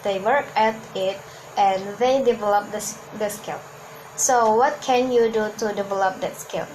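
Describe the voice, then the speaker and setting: A woman's voice: mid-range voice, a little slow, darkish tone, slight background noise, low-quality recording. A woman from Hong Kong whose first language is Cantonese, giving an earnest talk on public speaking and often restarting her words. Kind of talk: instructional talk to camera.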